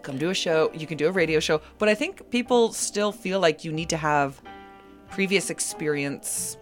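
A woman's voice: deep voice, normal speed, faint music behind. Chatty woman hosting local radio. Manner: chatty, playful, digressive. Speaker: radio show host. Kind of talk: casual live radio chat. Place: local community radio studio.

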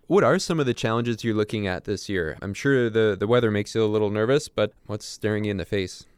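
A man reporting a news item and interviewing a guest in a measured, formal way. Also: fairly low pitch, clean tone, no echo. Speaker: radio reporter.

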